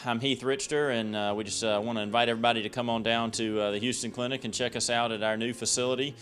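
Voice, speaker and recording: A man's voice: mid-range voice, steady tone, fast talker, fairly bright tone, faint music behind. A man speaking informally as a clinic representative in a local advert, hesitating with lots of ums and uhs.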